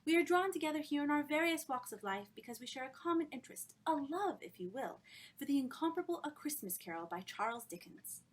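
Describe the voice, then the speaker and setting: High, thrilling society hostess, acted by a woman. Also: animated, brisk. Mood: gushing. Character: effusive, theatrical small-eyed society hostess, gushing over literature.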